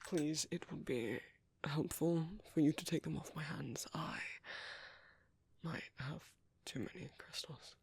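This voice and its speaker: A British man playing a gentle, caring vampire priest in an ASMR roleplay, speaking softly, hesitantly and politely in a breathy, whispery voice up close. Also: a little high, a little slow, heavily acted. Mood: kindly coaxing. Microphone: close microphone.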